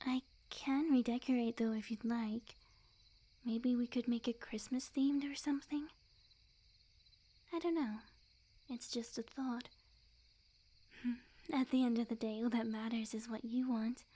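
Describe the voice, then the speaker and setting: A woman voicing a sweet, obsessively lovestruck, smug young witch in an intimate ASMR roleplay, speaking in a soft breathy near-whisper with quiet menace. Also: fairly high pitch, fairly even intonation, unhurried, pauses often. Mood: sweet, possessive. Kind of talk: ASMR roleplay, intimate scripted monologue to the listener.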